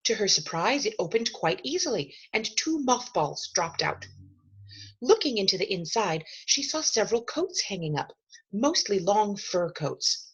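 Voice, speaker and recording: A woman reading a children's novel aloud as expressive storybook narration, with a husky voice and measured, dramatic emphasis. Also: medium pitch, rough recording.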